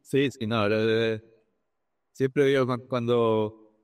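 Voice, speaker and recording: Male executive interviewee, medium pitch. Conversational and halting, with repeated words and English business terms. Dry sound. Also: fairly even intonation, smooth, dry recording. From Argentina. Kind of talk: conversational interview answers with anecdotes.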